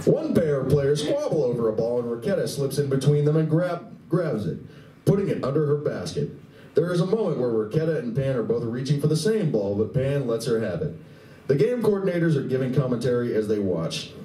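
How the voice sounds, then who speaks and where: A man's voice: mid-range voice, big pitch swings, fairly quick, dark tone. A man reading scripted narration for a live radio play in a convention room. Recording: distant, echoey convention room.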